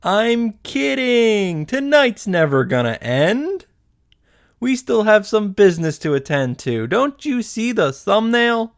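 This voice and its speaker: A man's voice: high-pitched, very animated, takes it slowly, clear, resonant tone. A man hosting a casual scripted recap of a visual novel, with a wry, joking delivery. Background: faint music.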